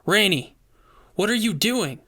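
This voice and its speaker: A man performing an alarmed adventurer in a horror tale, facing monsters with defiance. His speech is urgent and stammers, often pushed higher. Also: expressive, measured pace, sharp tone, heavily acted. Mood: alarmed, shocked.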